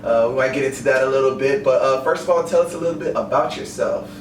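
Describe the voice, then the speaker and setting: A man's voice: medium pitch, quick pace. A man hosting a casual podcast interview as an online personal trainer, friendly and encouraging, speaking loosely with fillers. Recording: roomy, distant mic, ambient noise.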